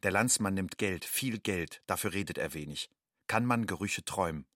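Bright, forceful migrant man. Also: low voice, normal speed, no room sound, clean studio sound. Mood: wary, stark. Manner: clipped, list-like, matter-of-fact sentences. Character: wary homeless undocumented migrant man, rootless and resigned. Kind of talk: stage-like monologue in audio drama.

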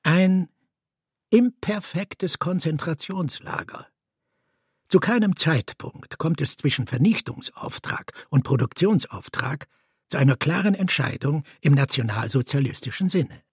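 A man's voice: fairly high pitch, expressive, unhurried, husky, dry recording, studio quality. A man reading scripted documentary narration.

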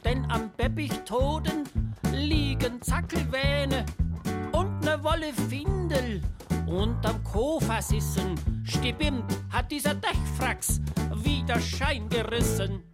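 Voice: high voice, takes it slowly. A singer performing a playful comic song full of scrambled nonsense words. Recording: loud music accompaniment.

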